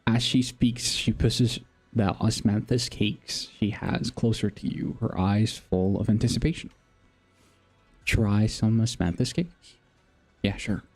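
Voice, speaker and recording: A man's voice: on the low side, takes it slowly, darkish tone, faint music behind. A man live-streaming a game, commenting casually and reading game text aloud.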